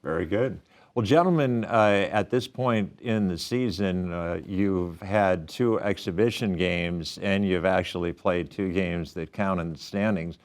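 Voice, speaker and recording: A man's voice: deep voice, a little slow. A man hosting a studio sports interview show, chatty and self-deprecating, often restarting his questions.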